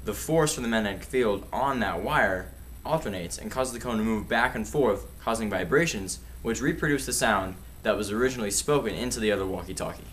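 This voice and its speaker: A young man with a slightly low voice gives an explanatory presentation. He is recorded at a distance in an echoey room, with faint noise behind him. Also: a little fast, hardly any pauses, fairly bright tone. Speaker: student presenter.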